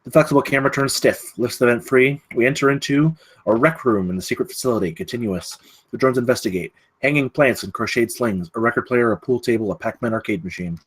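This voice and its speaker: A man's voice: medium pitch, quick pace, slightly rough, rough recording. A man reading scene directions as the narrator of a live screenplay table read.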